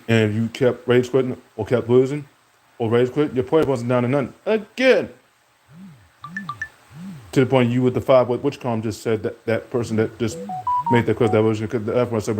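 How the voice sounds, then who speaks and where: A man's voice: moderate pace, over music, rough recording. A young man streaming, rambling from topic to topic in casual talk and speaking to an absent rival. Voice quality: deep voice.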